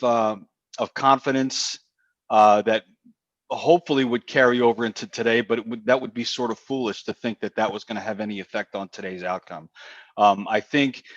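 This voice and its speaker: Halting man with a muffled sound. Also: medium pitch, dry recording. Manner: teasing, hesitant, many restarts. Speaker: podcast guest, stadium announcer. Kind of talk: casual sports talk.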